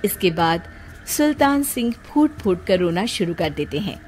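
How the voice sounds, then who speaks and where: A woman's voice: lowish voice, sing-song, moderate pace, darkish tone, faint music behind. A woman giving scripted narration for a moral story.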